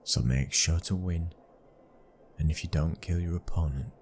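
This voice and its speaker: A British man in an ASMR boyfriend roleplay, playing a commanding, protective young mafia boss's son with a low, husky, controlled voice. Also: normal speed, a little mellow, a little hiss or hum, lightly acted. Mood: cold, commanding.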